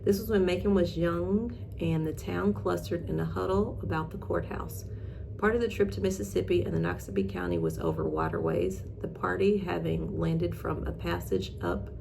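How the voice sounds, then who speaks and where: A woman's voice: on the low side, very animated, moderate pace, gravelly, background noise. A woman hosting in a casual, chatty way, mixing reading aloud with informal, self-deprecating asides. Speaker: host reading old newspaper articles.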